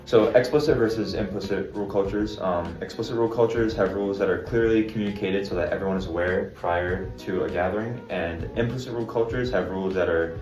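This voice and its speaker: A student interviewer speaks in a very deep voice, pausing often with ums. He sounds distant from the mic in an echoey room, and music runs behind him. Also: darkish tone, highs cut off. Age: young adult. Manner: hesitant, lots of um. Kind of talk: casual interview.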